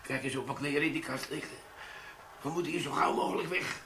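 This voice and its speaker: An actor playing a scheming army sergeant in a radio play, wry and theatrical, feigning weakness when it suits him. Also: male voice, fairly high pitch, fast, creaky, a bit bright. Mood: rueful. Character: scheming army sergeant, male, middle-aged, sly. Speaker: sergeant, bomb disposal crook. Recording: echoey, slightly distant, faint hiss.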